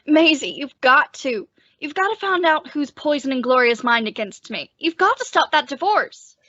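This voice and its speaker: A woman's voice: high-pitched, expressive, moderate pace, pushed and bright, no room sound. A woman playing a desperate, lovesick husband with urgent, earnest pleading, in old-time radio drama style. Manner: urgent, imploring delivery. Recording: dull lo-fi close dry recording.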